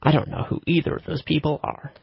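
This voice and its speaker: Creaky, husky male co-host, dryly measured. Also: a little high, little pitch movement, no echo, highs cut off. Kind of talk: casual chat.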